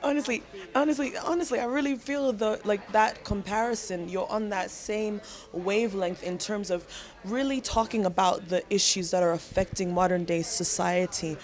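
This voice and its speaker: A woman's voice: medium pitch, fairly even intonation, normal speed. A woman with a mid-range voice interviews a guest in a conversational style. She is affirming and complimentary as she frames her questions and remarks.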